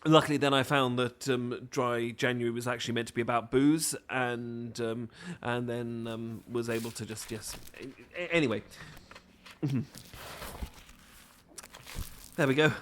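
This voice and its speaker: A man's voice: mid-range voice, fairly even intonation, normal speed, a bit bright, slight background noise, lightly acted. A man with a British accent playing a nervous, apologetic, bookish romantic lead in an audio roleplay, stammering and rambling hesitantly. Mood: sheepish, rambling.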